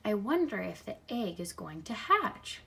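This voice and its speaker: A woman reads a picture book aloud for young children, expressively, pausing to add simple comments of her own. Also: mid-range voice, very animated, creaky, sharp tone, husky. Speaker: storybook reader.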